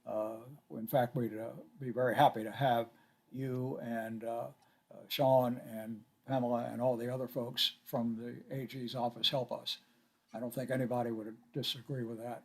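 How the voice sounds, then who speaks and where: An older man chairing a board meeting on a video call, courteous and halting with many filler sounds. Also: medium pitch, level tone, slightly rough.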